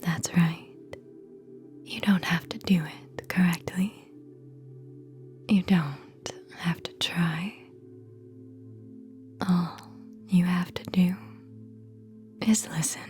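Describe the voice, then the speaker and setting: A woman guiding a whispered ASMR sleep meditation in a soothing, hypnotic manner, speaking in short phrases with long pauses. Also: fairly low pitch, fairly even intonation, a little mellow, faint music behind.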